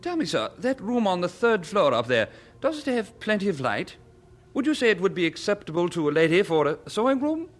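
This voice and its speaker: A man's voice: high voice, sing-song, fairly quick. An actor playing a fussy, polite elderly Englishman in an old-time radio drama, chatty and a little hesitant. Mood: genial. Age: older.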